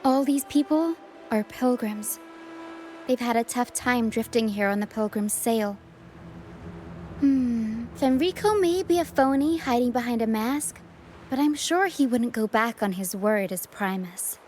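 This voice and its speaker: A woman's voice: a little high, a little slow, a little mellow, a little hiss or hum, lightly acted. A woman voicing a caring, dutiful young female first mate in a video game. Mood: reassuring, earnest.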